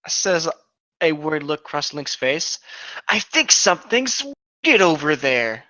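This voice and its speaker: Bright-voiced man reading a story. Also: fairly high pitch, sing-song, a little slow, no room sound, dull audio. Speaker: co-host of a comedic fan fiction reading show. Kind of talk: casual story read-aloud.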